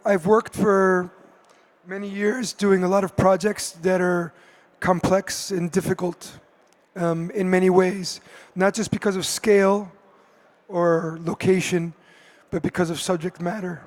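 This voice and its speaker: A man with a cold and a hoarse voice, speaking hesitantly as an artist on a conference panel, with many fillers and restarts. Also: high voice, fairly even intonation, takes it slowly. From United States.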